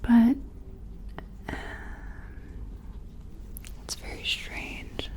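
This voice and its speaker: A woman playing a timid, awed scientist in a sci-fi ASMR roleplay, whispering and murmuring gently with hesitant, musing phrasing. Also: extremely slow. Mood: awed, pondering. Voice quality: breathy whisper mixed with soft, creaky murmur.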